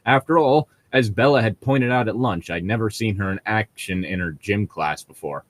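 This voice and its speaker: A man's voice: on the low side, moderate pace. A man co-hosting a read-along show, chatting casually between read passages with a mocking, sardonic manner.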